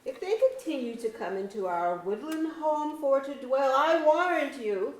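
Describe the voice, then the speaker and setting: A woman playing a scornful woodland fairy in a staged verse drama, sneering in deliberate archaic phrases. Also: high voice, expressive. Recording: echoey auditorium.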